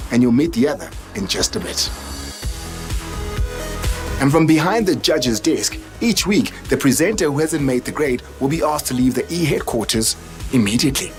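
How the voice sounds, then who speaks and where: Lively reality show host over music. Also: medium pitch. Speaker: host and judge.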